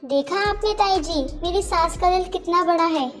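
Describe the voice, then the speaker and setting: A woman's voice: very high-pitched, moderate pace. A woman voicing a kind, proud young daughter-in-law in a Hindi moral-story cartoon, with music behind her. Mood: proud, defiant.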